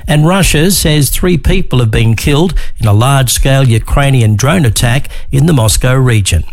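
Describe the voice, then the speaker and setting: A man with an Australian accent reading a scripted radio news bulletin in a measured, formal way. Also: mid-range voice, darkish tone. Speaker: newsreader.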